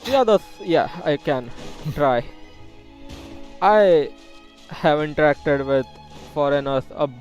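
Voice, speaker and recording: Male game streamer with a slightly high voice, chatty, mutters asides while playing, faint music behind. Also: animated, takes it slowly, pauses often, a little mellow, lo-fi sound. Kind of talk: casual gaming commentary.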